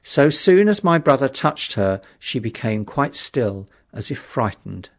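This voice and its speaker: A man reading a literary story as an audiobook narrator, with a dramatic, measured delivery. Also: medium pitch, big pitch swings.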